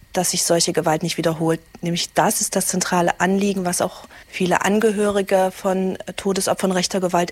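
A woman with a fairly low voice speaks earnestly and explains her points step by step in a measured, steady rhythm. The sound is dry and close, with no room echo around her. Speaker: speaker on remembrance of victims of violence.

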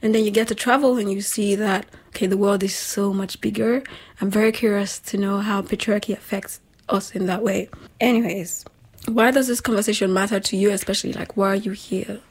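A woman with a slightly husky voice, hosting a casual chat in an informal, emphatic, slangy way. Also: mid-range voice, fairly even intonation, fairly quick. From Nigeria. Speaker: talk show host.

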